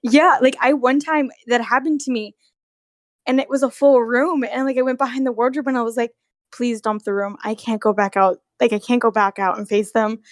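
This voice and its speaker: A woman telling work stories as a guest in a casual, animated, giggly chat with some swearing, on an amateur recording. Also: on the high side, a little fast, dry recording, clean studio sound. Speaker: guest, costumed theme park character performer. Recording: amateur recording with bad audio.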